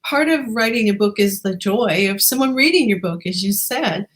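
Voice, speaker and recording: A woman's voice: mid-range voice, animated, moderate pace. A woman hosting a casual interview in an encouraging, affirming manner. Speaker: interviewer and host.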